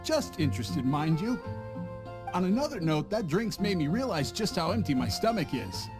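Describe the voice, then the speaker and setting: A man's voice: sits high, big pitch swings, moderate pace. A man voicing a nervous, evasive male merchant in a video game, stammering and covering his slips. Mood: sly. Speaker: traveling merchant. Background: game music.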